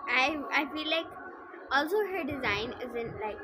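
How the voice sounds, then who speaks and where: A woman's voice: high voice, unhurried, noisy background. A woman streamer giving casual gameplay commentary and reading dialogue aloud, blunt, joking and sweary. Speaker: game streamer. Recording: loud game music behind voice. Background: loud game music.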